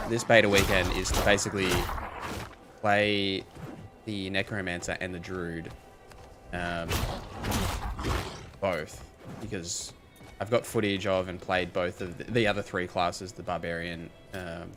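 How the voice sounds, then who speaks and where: Hoarse low-voiced man streaming. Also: steady tone, measured pace. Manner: rambling, thinks aloud with many fillers. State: hoarse, losing his voice. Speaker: game streamer. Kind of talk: casual live-stream commentary, chatting with viewers. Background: game music.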